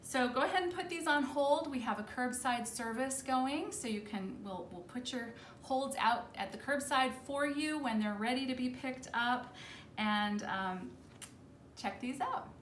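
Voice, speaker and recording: A woman's voice: sits high, a little slow, fairly bright tone. A woman librarian casually presenting children's books in a chatty, informal way, explaining things as she goes. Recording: roomy echo with faint background noise.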